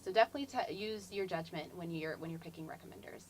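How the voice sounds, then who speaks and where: A woman hosting an admissions Q&A, giving informal explanatory advice in measured phrases with hesitant pauses. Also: lowish voice. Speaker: admissions Q&A host. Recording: faint background noise.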